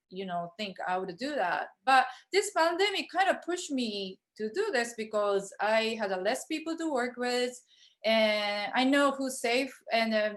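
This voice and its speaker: A woman artist from Japan, whose first language is Japanese, talking over a video call in a halting, filler-heavy way. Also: on the high side. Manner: hesitant, many fillers and restarts. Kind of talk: virtual studio visit talk.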